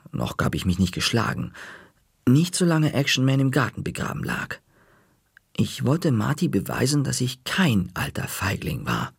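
A man narrating a children's story in the first person, calm, dropping to a whisper in quiet moments. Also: lowish voice, gravelly, slightly rough, no room sound, clean studio sound.

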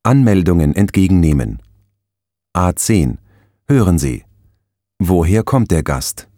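A man reading instructions as the narrator of a language course, calm and measured. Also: low-pitched, no echo, studio quality. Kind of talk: instructional announcements.

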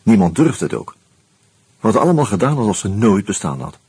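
An actor playing a reflective, wry man who tells his story in a radio play, in a musing, halting, conversational way. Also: fairly low pitch, very animated, darkish tone, breathy edge, no echo, exaggerated voice. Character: reflective male friend telling his story, wry, hesitant. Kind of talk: intimate first-person radio drama narration and dialogue.

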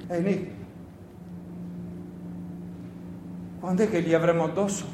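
A man plays a nervous young partisan in a radio drama. His voice is high, with stammered, unsure questions and a naive, pestering manner. The room echoes around him. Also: fairly even intonation, racing, natural voice. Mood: anxious. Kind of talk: theatrical radio play dialogue. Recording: roomy echo with faint noise.

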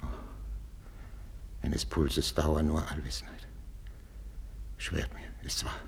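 Gravelly, tormented aging king. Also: a bass voice, little pitch movement, takes it slowly, exaggerated voice. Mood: brooding, suspicious. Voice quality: gravelly, husky. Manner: declamatory, swinging between whispers and outcries. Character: aging jealous king, tormented, suspicious, imperious. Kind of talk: 1950s radio drama acting, classical stage German. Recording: old 1950s radio recording.

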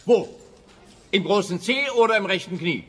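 An actor playing an officious, pedantic, exasperated police officer in a comic radio play, blustering. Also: male voice, sits high, moderate pace, fairly bright tone, slightly rough. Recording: roomy long reverb, faint background noise.

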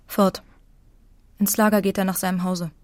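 An actress playing a steadfast, composed young woman in a literary radio drama, soft, earnest and formal. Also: mid-range voice, flat delivery, normal speed, no echo, lightly acted. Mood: calm.

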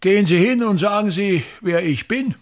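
An actor playing a vain, embittered older theatre actor and playwright in a radio play, domineering and declamatory, with an Austrian accent. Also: male voice, high-pitched, normal speed, lightly acted. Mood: imperious, indignant. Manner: theatrical, declamatory, haranguing, repeats words.

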